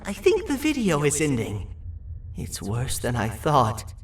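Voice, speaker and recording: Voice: mid-range voice, huge pitch swings, a little slow, recorded from a distance, faint music behind. An actor voicing a nervous, guilt-ridden cartoon mascot creature in a video game, hesitant and apologetic. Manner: hesitant, apologetic, erratically swooping. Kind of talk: video game character dialogue. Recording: echoey, off-mic game audio.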